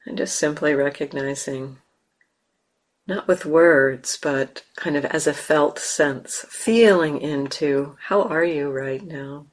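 A woman with an American accent, a meditation teacher leading a guided meditation slowly and softly, with long pauses. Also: deep voice. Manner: slow, soft, calm, with long pauses. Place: meditation hall.

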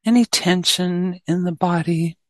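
A woman leading a guided meditation as a teacher, speaking gently in short phrases with long pauses between them. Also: lowish voice, steady tone, no room sound, clean studio sound.

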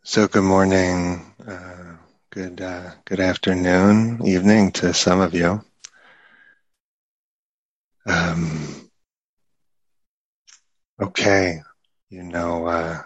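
A man guides a meditation in a low, fairly even voice. His delivery is extremely slow and halting, with long pauses between short phrases. The sound is dry and close. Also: dry recording, dull audio. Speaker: meditation teacher.

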